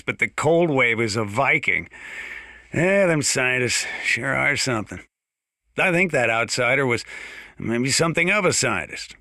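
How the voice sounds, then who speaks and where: An aging American sheriff of a small mountain town for a video game. The voice is bright and firm at a middling pitch, recorded dry with no room around it. He rambles in a folksy, wry way, like a weathered veteran of the backwoods. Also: dry recording. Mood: bemused. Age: older. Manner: folksy, rambling, dryly humorous. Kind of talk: in-character radio monologue for a video game.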